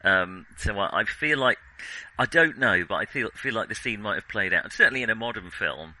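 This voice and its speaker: Male podcast co-host, low pitch, very bright forceful tone, casual film talk, hesitant and thoughtful. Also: lively intonation, brisk, low-quality recording.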